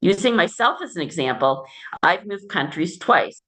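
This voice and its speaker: A woman from the United States, a native English speaker, giving measured, explanatory answers as a guest researcher in an interview. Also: lowish voice, expressive, a bit bright, poor audio.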